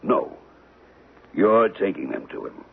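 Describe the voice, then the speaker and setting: An actor playing a fatherly, cautious old diamond dealer in a radio drama, speaking in a measured, kindly way. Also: deep voice, sing-song, gravelly, husky, heavily acted. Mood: businesslike. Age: older. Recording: tinny, narrow-band radio broadcast.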